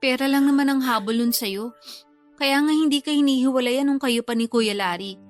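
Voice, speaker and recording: A woman's voice: sits high, normal speed, soft background music, lightly acted. A woman playing a frank, scolding but supportive younger sister in a radio drama, with a Filipino accent. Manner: blunt, reproachful. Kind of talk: Tagalog radio drama acting.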